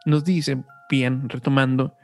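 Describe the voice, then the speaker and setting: A man's voice: medium pitch, level tone, slow, a little mellow. A man with a Mexican accent hosting a podcast, talking and reading in an informal way with self-corrections. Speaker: podcast host. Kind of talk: casual chat and reading aloud. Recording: music behind the voice. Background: music.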